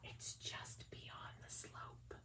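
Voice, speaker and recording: A kind young lady fish speaks only in a soft, breathy, unvoiced whisper, as a woman puts her on. She is hushed and gentle, the voice of a storybook friend. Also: very slow.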